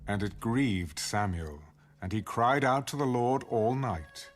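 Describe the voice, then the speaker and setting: A man narrating a dramatised audio Bible in a measured, expressive storytelling manner. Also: mid-range voice. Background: music bed.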